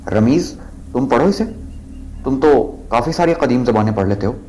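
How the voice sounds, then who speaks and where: A man's voice: medium pitch, animated, moderate pace, a little mellow, slight background noise, close to an everyday voice, low-quality recording. A man playing a bold, curious young university student in an audio drama. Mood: curious, puzzled.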